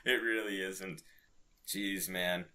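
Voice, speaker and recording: A man co-hosting a podcast in casual gaming chat, quick to laugh as he talks. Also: low-pitched, fairly even intonation, bright, forceful tone. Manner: laughs readily while talking. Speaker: podcast co-host.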